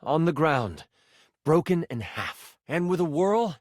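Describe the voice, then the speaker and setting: A man playing a dramatic game master, narrating a fantasy adventure in a video game with suspenseful pauses. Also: on the high side, very animated, no echo, studio quality.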